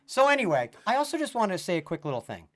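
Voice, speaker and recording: A man co-hosting a live horror podcast in casual chat. He is a little hoarse from a cold and talks in long, jokey run-on sentences. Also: high voice, sing-song, fast talker, a bit bright. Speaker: podcast co-host.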